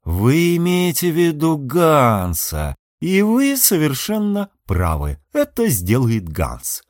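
A man's voice: on the high side, wildly swooping pitch, slow, darkish tone, dry recording, full character voice. Man acting a portly ship's captain, swooping intonation. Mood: jovial, insistent. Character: portly older male ship's captain, jovial, scheming.

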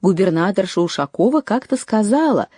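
A woman reading a scripted documentary narration in a measured way, lifting her voice on key phrases. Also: medium pitch, animated, dark tone, breathy edge, dry recording, clean studio sound.

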